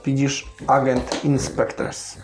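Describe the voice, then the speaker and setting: A man's voice: mid-range voice, monotone, slow pace. A man with a Polish accent narrating as game master in a conversational tabletop role-playing session. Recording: roomy echo with music behind. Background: music.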